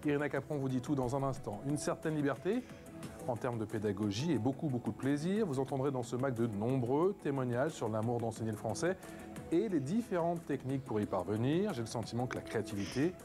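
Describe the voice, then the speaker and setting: Male television presenter, mid-range voice, scripted magazine delivery over a clear music bed. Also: mid-range voice, expressive, fairly quick.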